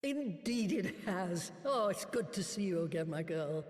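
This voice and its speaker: An actress playing a crotchety, sharp-tongued old woman, a master sage in a fantasy game, with wry, drawn-out delivery. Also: on the low side, expressive. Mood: fond, teasing. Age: older. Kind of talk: fantasy game cutscene acting. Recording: echoey hall with long reverb.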